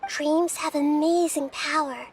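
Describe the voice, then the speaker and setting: A woman voicing a small, childlike goddess in a video game, gentle and earnest, with formal, wise phrasing. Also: high voice, steady tone, a little slow. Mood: gentle, solemn.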